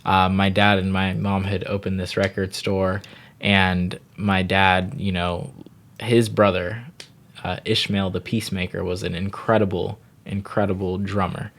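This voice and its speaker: Man, 30s, from Barbados; very low, even delivery, calm and reflective interview guest. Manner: unhurried, thoughtful, frequent "you know". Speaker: yoga teacher and wellness guest.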